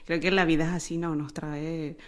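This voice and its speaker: A woman's voice: low-pitched, level tone, normal speed. A Venezuelan woman with a Venezuelan Spanish accent, co-hosting a casual podcast and chatting in an informal, slangy way. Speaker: podcast co-host. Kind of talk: casual, gossipy podcast chat.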